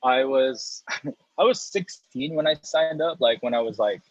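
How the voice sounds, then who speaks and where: Husky, muffled man telling his story. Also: medium pitch, unhurried, no room sound. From United States. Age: 30s. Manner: rambling with many restarts and light laughs. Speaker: guest, coffee roaster and business owner. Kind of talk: casual interview talk.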